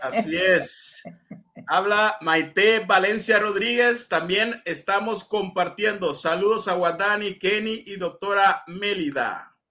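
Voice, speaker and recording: A man's voice: high-pitched, slow pace, bright, forceful tone. A middle-aged man from Honduras hosting an interview on a video call, courteously reading viewer messages aloud. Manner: courteous, reads comments aloud. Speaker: host.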